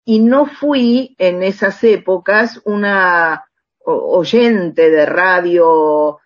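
A woman's voice: on the low side, very slow, muffled recording. An older woman with an Argentine accent reminiscing casually as an interview guest, searching hesitantly for her words. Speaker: interview guest, radio drama writer.

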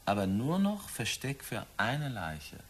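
A man's voice: mid-range voice, huge pitch swings, slow, husky, full character voice. A man in a German radio drama playing a cynical 23-year-old murderer who taunts his father in a cold, flippant, insinuating way. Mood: veiled threat.

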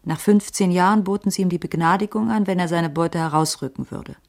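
Low, gravelly, world-weary streetwise woman. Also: fairly quick, a little mellow. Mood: knowing, matter-of-fact. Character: careworn, streetwise female ex-cop with a tip. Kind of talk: radio drama dialogue, hard-boiled crime.

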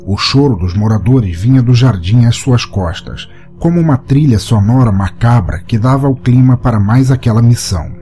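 Male narrator for audio short fiction: medium pitch, dark soft tone, measured dramatic read, music bed. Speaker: podcast narrator. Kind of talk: scripted short-story narration. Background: music under the voice.

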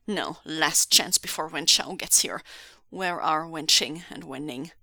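A woman voicing a gruff, short-tempered male villain in a story, snarling and growling. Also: low-pitched, measured pace, pushed and bright, no echo, pitched below the performer's own voice, exaggerated voice. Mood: angry.

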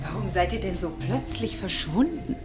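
An actress playing a sociable society hostess in a German radio crime drama, polite and lightly ironic. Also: female voice, on the low side, expressive, normal speed, loud music behind the voice, natural voice. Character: Maura, sociable hostess, wife of tight-fisted rich man.

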